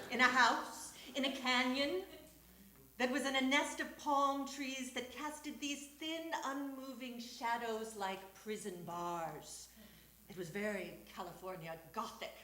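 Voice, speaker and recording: A woman's voice: a little high, slow, pushed and bright. A woman reading a personal essay aloud to an audience at a live storytelling show. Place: small theatre stage. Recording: roomy live stage recording with background noise.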